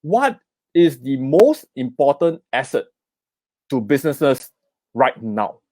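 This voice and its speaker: A man's voice: medium pitch, very animated, takes it slowly, mellow. A middle-aged man with a Singaporean accent, giving an investment talk at an online summit. He keeps asking "okay?" and "right?" and urges viewers to type in the comments. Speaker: investment speaker. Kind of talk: live online seminar talk.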